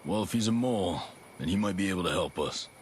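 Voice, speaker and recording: An actor playing a steady, determined special forces soldier in a video game cutscene. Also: male voice, deep voice, fast, slight background noise. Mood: businesslike.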